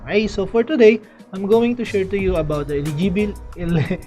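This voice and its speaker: High-voiced young man, chatty and mellow. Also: expressive, a little fast, faint music behind. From Philippines. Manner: chatty, repeats "okay" often, frequent fillers and restarts. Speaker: vlogger explaining a scholarship. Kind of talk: casual explanatory vlog. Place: indoors, small room.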